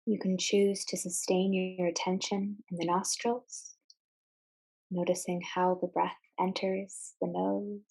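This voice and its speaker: Slow-paced, low-voiced woman guiding meditation. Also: a little mellow, breathy edge, poor audio. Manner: measured, instructional, with deliberate pauses between short phrases. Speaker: meditation guide. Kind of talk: guided meditation narration.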